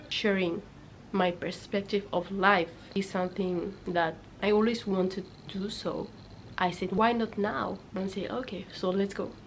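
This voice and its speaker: A young woman from Senegal speaks English as a second language in a chatty, upbeat vlog to her viewers. Her voice sits at a middle pitch, and a faint hiss runs under the recording. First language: not English. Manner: chatty, upbeat, repeats for emphasis. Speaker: YouTuber. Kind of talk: casual talking-to-camera vlog.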